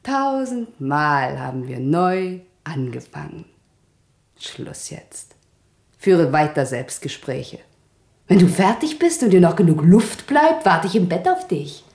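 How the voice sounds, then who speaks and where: A young lover in a radio drama, weary and impatient with her partner. Her low voice has a blunt, sardonic bite. It rings in a roomy, echoing space. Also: very animated, slow pace, creaky, darkish tone, exaggerated voice. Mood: weary, dismissive. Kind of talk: radio play acting.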